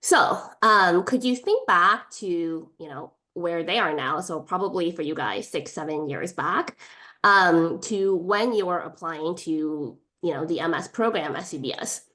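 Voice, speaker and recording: A woman's voice: lowish voice, unhurried, pushed and bright. A woman hosting an online panel as moderator, polite and organized, with frequent fillers, on a video call. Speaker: moderator, admissions director.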